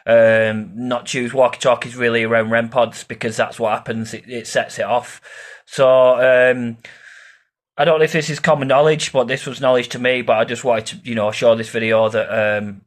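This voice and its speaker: Man, informal video host, rambling explainer, medium-pitched voice, frequent conversational tags. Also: little pitch movement, moderate pace. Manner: rambling, repeats "yeah" and "obviously" as tags. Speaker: paranormal investigator and video host.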